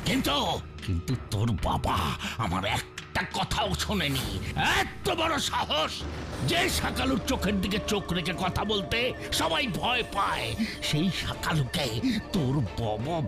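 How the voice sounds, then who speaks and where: A menacing cartoon villain boss, gravelly and slow. His pitch leaps wildly from deep growls up to shrill yells, and he drags out each taunt. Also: wildly swooping pitch, music in the background, exaggerated voice. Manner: theatrical, sneering, swinging between growls and shouts. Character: menacing male villain boss, boastful and cruel. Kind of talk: cartoon voice acting.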